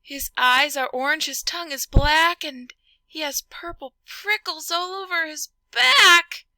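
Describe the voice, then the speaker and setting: A woman's voice: sits high, measured pace, bright, forceful tone, no echo, pitched above the performer's own voice, full character voice. A woman voicing a bold, cheeky little storybook mouse, polite and boastful. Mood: alarmed.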